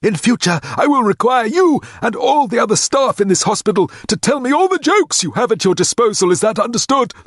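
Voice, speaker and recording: A man reading aloud and voicing a pompous, excitable male hospital director who barks imperious demands. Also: brisk, few pauses, dry recording, studio quality, exaggerated voice. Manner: imperious, shrill when excited.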